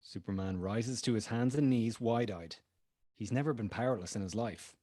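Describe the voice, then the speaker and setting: A man's voice: lowish voice, moderate pace, dark tone, no room sound, studio quality. A man narrating the stage directions of a screenplay at a table read.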